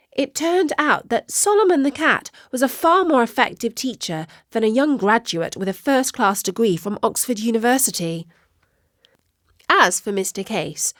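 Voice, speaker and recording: A woman narrating a scripted children's story, expressive and measured in her delivery. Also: fairly high pitch, dry recording, studio quality.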